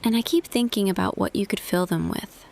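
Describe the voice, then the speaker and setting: A woman playing a tender, quietly smitten office boss in an intimate ASMR roleplay, speaking softly and deliberately, often half whispering. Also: mid-range voice, slight background noise, lightly acted. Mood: tender, hesitant. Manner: slow, hushed, deliberate, pausing. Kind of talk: ASMR roleplay audio, intimate scripted monologue.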